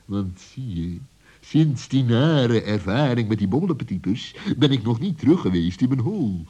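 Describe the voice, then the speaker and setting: A man's voice: mid-range voice, very animated, moderate pace, warm tone, slightly rough. A man playing a sly fox in a children's radio play, wily and condescending in a falsely kindly way, on an old recording. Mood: sly, soothing.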